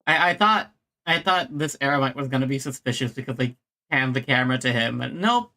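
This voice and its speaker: A woman's voice: very deep voice, expressive, brisk, sharp tone. A woman game streamer giving casual playthrough commentary, reading along and reacting.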